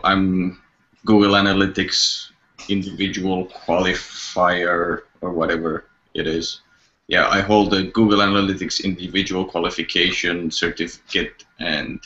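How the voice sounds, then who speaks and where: A man's voice: deep voice, pushed and bright, muffled recording. A young man, speaking informally as a developer. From Romania. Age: young adult. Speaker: back-end developer. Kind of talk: informal self-introduction and remarks.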